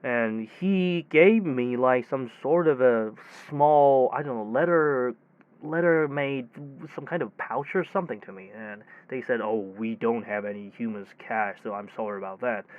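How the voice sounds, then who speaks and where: A man playing a weary, exasperated technician who tells his bizarre tale in a rambling, halting, conversational audio drama monologue. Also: mid-range voice, smooth, phone-quality sound, lightly acted. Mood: tired, subdued. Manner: rambling, halting, animated storytelling with sighs. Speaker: voice actor in a self-written audio drama.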